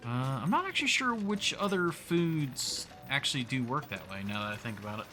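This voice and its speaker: Bright-voiced man streaming a game. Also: medium pitch, big pitch swings, fairly quick, faint music behind. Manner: thinks aloud, talks to chat. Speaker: livestreamer playing a survival game. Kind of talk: casual gameplay commentary.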